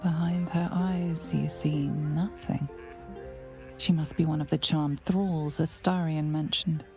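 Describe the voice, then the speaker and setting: An actress playing the solemn, ominous narrator of a dark fantasy game, in measured scripted delivery. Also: female voice, low voice, steady tone, vocal fry, faint music behind, full character voice.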